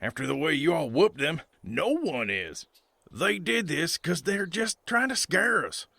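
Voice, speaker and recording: A man's voice: a little high, big pitch swings, measured pace, vocal fry, bright, forceful tone, no echo, exaggerated voice. A man playing a stubborn, folksy farmer with a drawl in a comic western audio drama. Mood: defiant. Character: folksy, stubborn country farmer, tractor-driving and defensive.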